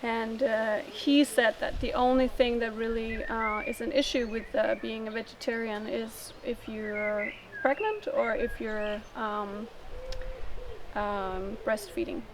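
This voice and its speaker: Interviewee, woman from Denmark, slightly high voice; casual, halting storytelling; noisy outdoor take. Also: steady tone. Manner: halting, thinks aloud.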